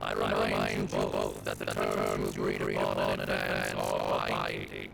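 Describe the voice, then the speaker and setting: An actor playing a solemn, commanding officiant of an ancient rite in a video game, speaking in a grave, formal, stately manner. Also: male voice, extremely low pitch, completely flat delivery, a little slow, vocal fry, very noisy, full character voice. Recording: game audio with loud music behind.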